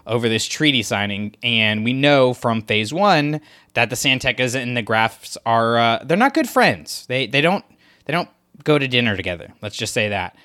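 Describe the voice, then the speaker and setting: A man's voice: mid-range voice, lively intonation, normal speed, sharp tone. A man co-hosting a podcast, chatting casually about a story with many fillers and restarts. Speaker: podcast co-host. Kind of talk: casual discussion of a book.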